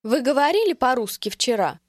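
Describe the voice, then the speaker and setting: A woman speaking carefully and clearly as the pronunciation model in a language course. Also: high-pitched, very animated, no room sound, studio quality. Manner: slow and carefully enunciated.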